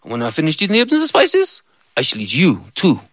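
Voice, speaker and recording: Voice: medium pitch, huge pitch swings, a bit bright, no room sound, studio quality, voice pushed up. A storyteller voicing a greedy, boastful male goat who threatens to eat everyone. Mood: taunting. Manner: taunting, drawn-out threats.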